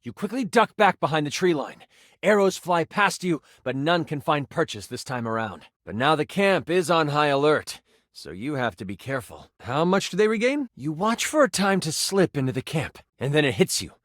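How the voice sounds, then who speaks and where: Theatrical, animated male game master. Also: on the high side, normal speed, no echo, clean studio sound. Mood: hushed suspense. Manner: theatrical, slips into hesitant casual asides. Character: living D20 die, excitable nerdy male game master. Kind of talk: dramatic tabletop fantasy narration with casual asides.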